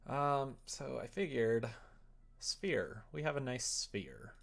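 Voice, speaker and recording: Slow-paced streamer thinking aloud, gender unclear. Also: mid-range voice, animated, gravelly, fairly bright tone. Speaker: live streamer. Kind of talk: casual livestream chat while working, thinking aloud.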